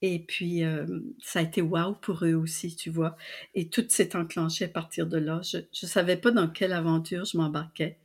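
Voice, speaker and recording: A woman's voice: on the low side, level tone, moderate pace, breathy edge. An older woman with a Canadian French accent from New Brunswick, telling her story as a guest, with frequent fillers and restarts. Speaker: guest, publisher and translator. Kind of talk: casual storytelling interview.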